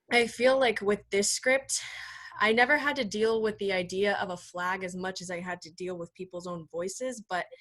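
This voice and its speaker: A young woman with an American accent giving personal testimony in an online discussion, candid and hesitant, pausing between phrases. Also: on the low side, fairly bright tone. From Flagstaff, Arizona, United States. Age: young adult. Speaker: actor in a staged reading. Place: video call webinar.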